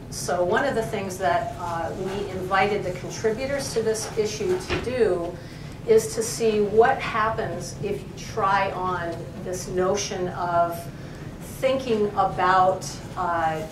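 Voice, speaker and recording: A woman professor presenting a journal issue to a library audience, thoughtful, pausing with frequent ums as she explains terms. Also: on the low side. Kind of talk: academic talk to a library audience. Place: library event room. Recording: echoey room, far mic, noisy.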